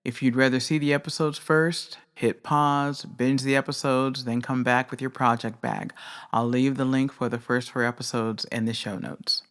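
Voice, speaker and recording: A woman hosts a craft podcast and talks through her views by herself. She is measured and candid and says plainly what she thinks. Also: very deep voice, fairly even intonation. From not British. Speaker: podcast host. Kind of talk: reflective solo commentary.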